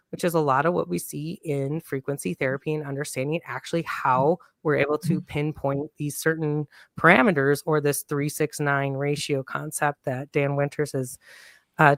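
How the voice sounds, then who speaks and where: Smooth-voiced man rambling on a call. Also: on the high side, fairly even intonation, normal speed, a bit bright, no room sound. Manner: rambling, upbeat, many fillers. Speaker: guest. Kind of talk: casual panel chat on a video call. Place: home room on video call.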